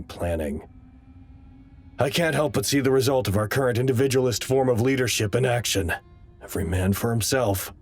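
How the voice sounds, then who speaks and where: A man acting as an anxious, earnest researcher in an audio drama, giving dramatic and deliberate log reports. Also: on the low side. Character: anxious, earnest male facility researcher narrating crisis logs.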